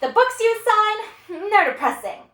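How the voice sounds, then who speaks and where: A woman's voice: extremely high pitch, big pitch swings, unhurried, fairly bright tone, echoey room, voice pushed up, full character voice. A woman playing a brash, flippant young female student in a solo dramatic performance, with slangy, theatrical delivery. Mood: defiant.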